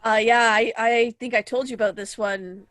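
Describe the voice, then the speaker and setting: A woman with a slightly high voice co-hosts a podcast, telling travel stories in a loose, chatty way and laughing often. The sound is dry and close, with no room around her. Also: steady tone, fairly bright tone, no echo. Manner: chatty anecdotes, quick to laugh. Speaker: podcast co-host. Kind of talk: casual podcast chat.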